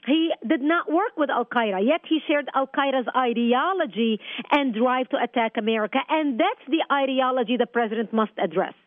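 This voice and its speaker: A woman's voice: sits high, normal speed, no echo. A woman whose first language is Arabic, a guest calling in by phone and arguing insistently. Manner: insistent, forceful argument. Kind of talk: phone-in interview. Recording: tinny phone line.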